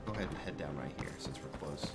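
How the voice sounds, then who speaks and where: A man with a very low voice plays through a game in character. He muses aloud in a casual, conversational way, as if talking to himself. Game music is clearly audible behind him. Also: lively intonation, fast. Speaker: player role-playing his game character. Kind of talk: in-character video game roleplay commentary.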